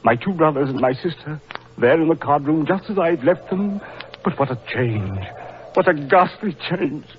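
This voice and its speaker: A horror-struck gentleman played by a man in a 1940s radio melodrama. He speaks haltingly in a fairly high, shaken voice, on a muffled old recording with faint hiss. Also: lively intonation, close to an everyday voice. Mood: horrified. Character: distraught, horror-struck Cornish village gentleman.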